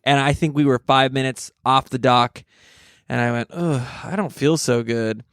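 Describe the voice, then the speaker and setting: A man with a naturally high voice, chatting and joking crudely as a podcast co-host in casual comedic banter. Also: steady tone, moderate pace, fairly bright tone, smooth, no echo, clean studio sound.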